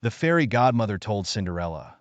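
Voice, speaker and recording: A man with a low voice reads a children's bedtime story from a script. His pitch moves widely as he narrates the fairy tale. The recording is close and dry. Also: big pitch swings, fairly quick, dry recording, highs cut off.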